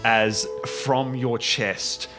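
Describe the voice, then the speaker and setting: A man improvising comic narration as the game master of a tabletop game, excitable and halting, acting out little sound effects. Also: mid-range voice, over music.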